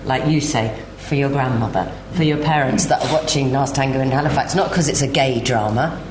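Woman, very deep voice, panel discussion, echoey room, clear background noise. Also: fairly quick. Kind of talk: panel discussion, conversational opinion.

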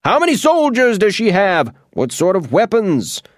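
A blustering storybook wizard with a high, loud voice, full of pompous flourishes, as a male narrator puts him on. He booms his grand words and sweeps up and down. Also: huge pitch swings, normal speed, dry recording, clean studio sound. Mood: demanding.

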